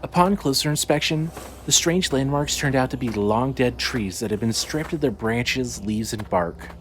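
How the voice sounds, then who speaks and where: A man's voice: medium pitch, normal speed. A man narrating scripted fiction dramatically as the narrator of a story. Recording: faint background noise and ambience.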